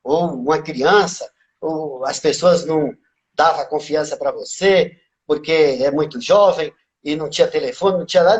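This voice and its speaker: A man in his fifties with a slight Bahian accent, telling his life story as an interview guest in a relaxed, reflective way. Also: high-pitched. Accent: Bahian Portuguese. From Brazil, born in Minas Gerais, raised in Bahia. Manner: relaxed, reflective, tags phrases with "né?".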